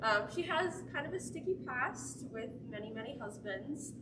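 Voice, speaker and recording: A woman's voice: high voice, lively intonation, moderate pace. A teenage girl, a student actor, talking in a giggly, playful way. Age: teens. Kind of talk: casual panel talk. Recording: roomy, distant mic, noisy.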